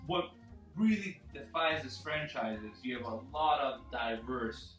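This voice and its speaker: A man's voice: medium pitch, animated, unhurried, a bit bright. A man explaining his opinions emphatically in a casual group film discussion. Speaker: film review panelist. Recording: echoey room, distant mic, music behind.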